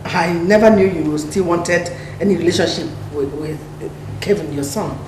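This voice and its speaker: A woman's voice: fairly low pitch, normal speed, a little mellow, natural voice. An actress with a Nigerian accent playing a bold, knowing house maid talking to her chief in a film drama. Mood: knowing challenge. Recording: echoey room, distant, background noise.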